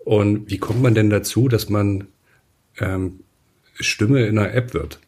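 A man hosting a podcast, introducing his guest and asking friendly, relaxed questions. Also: fairly low pitch, a little slow. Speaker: podcast host, newspaper editor-in-chief. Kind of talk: podcast interview.

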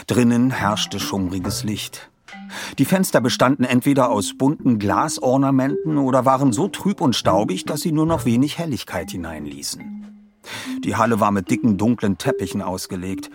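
Male narrator for scripted fiction, medium-pitched with lively melody, measured and expressive. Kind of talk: scripted audiobook storytelling with voiced dialogue.

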